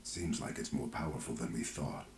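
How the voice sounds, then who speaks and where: A weary, serious healer in a fantasy game, with an extremely low voice. He speaks with measured urgency in roomy audio with some background noise. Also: a little mellow. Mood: grave, weary. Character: grave, weary male fantasy mage healer. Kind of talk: video game voice acting.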